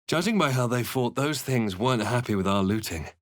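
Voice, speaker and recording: Casting: a man as brooding male adventurer for a fantasy video game, mid-pitched, earnest, theatrical, dry studio sound. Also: animated, brisk, no room sound, natural voice. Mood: grave, narrating. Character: brooding male fantasy adventurer hero, narrating his visions and deeds. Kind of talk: dramatic video game voice acting.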